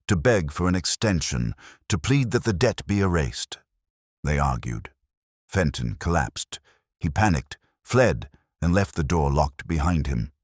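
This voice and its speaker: A brooding detective played by a man narrates a mystery in an extremely deep voice. His delivery is measured and reflective, with a literary turn and plenty of rise and fall in pitch. The sound is dry, free of room echo, in the manner of an audio drama. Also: heavily acted. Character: brooding, melancholy male English police detective narrating his case. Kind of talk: scripted first-person detective mystery narration.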